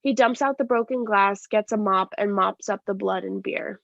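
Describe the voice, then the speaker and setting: A woman's voice: medium pitch, moderate pace, creaky, no room sound. A woman reading scripted stage directions as narration, plainly and step by step, with slight stumbles. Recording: dry, slightly dull lo-fi sound.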